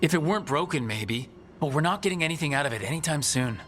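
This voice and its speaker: A man's voice: fairly high pitch, expressive, fast talker, a bit bright, faint music behind. An actor playing a level-headed, cautious male leader of adventurers in a fantasy game. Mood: steady, sober. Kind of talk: game dialogue acting.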